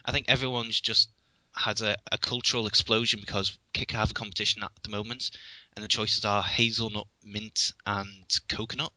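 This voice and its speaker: A man's voice: lowish voice, flat delivery, fairly quick, bright, forceful tone, no echo, low-quality recording. A man from England with a Liverpool accent, chatting casually as a guest and often restarting his sentences with dry humor. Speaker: podcast guest. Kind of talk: casual chat.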